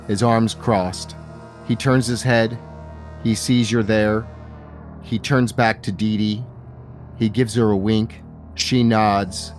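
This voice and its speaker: A man narrating a tabletop fantasy game as game master, setting scenes in a measured, deliberate way. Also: fairly low pitch, a little mellow.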